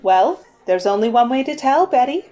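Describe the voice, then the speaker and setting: A woman's voice: a little high, expressive, moderate pace, mellow, lightly acted. A woman playing a fussy, motherly middle-aged lady in a 1940s-style radio comedy. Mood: chatty.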